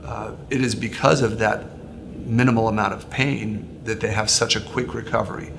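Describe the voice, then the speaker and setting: Male surgeon, interview explanation. Gravelly, flat intonation, recorded with clear ambient noise. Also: on the low side, moderate pace. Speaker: bariatric surgeon.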